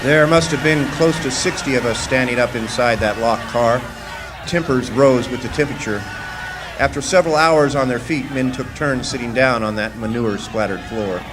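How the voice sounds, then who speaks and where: A man's voice: mid-range voice, moderate pace, music in the background, natural voice. A man playing a plainspoken copper miner from 1917, recounting his story in a live radio drama. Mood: reflective, recounting. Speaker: actor narrating a radio drama. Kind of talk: first-person radio drama narration and dialogue. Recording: noisy live stage radio play recording.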